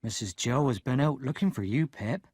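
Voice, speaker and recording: A man doing a slow, gentle giant of a blacksmith. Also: medium pitch, lively intonation, dry recording, studio quality.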